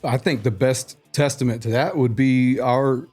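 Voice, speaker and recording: A man's voice: medium pitch, measured pace, a little mellow, faint music behind. A man from a roofing company, answering easygoing and agreeable with some hesitant fillers. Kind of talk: casual interview answers.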